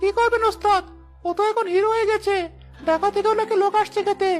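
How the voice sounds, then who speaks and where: A man voicing an excitable, fawning henchman in a cartoon, with an eager, deferential manner. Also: extremely high pitch, quick pace, soft background music. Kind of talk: animated cartoon dialogue.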